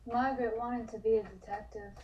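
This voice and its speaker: A woman's voice: on the high side, steady tone, brisk, lo-fi sound, noisy background, natural voice. A woman playing a drugged, withdrawn young artist held in an asylum, in a script read-through. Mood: flat, withdrawn.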